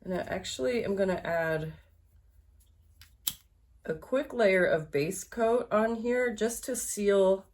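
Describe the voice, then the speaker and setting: A woman's voice: medium pitch, slow pace, long pauses, a little mellow. A woman teaching a sewing project in a casual, chatty way, a little congested and nasal from allergies, with frequent fillers and restarts. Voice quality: slightly nasal. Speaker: sewing instructor. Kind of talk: casual sewalong tutorial.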